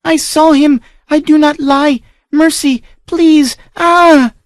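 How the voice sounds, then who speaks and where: A man reading aloud, voicing a frightened young servant girl who pleads in a high falsetto. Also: steady tone, moderate pace, a little mellow, dry recording, studio quality. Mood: pleading.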